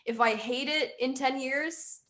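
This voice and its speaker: A woman's voice: sits high, measured pace, fairly bright tone. A young woman musician chatting casually in an interview, rambling and quoting herself often.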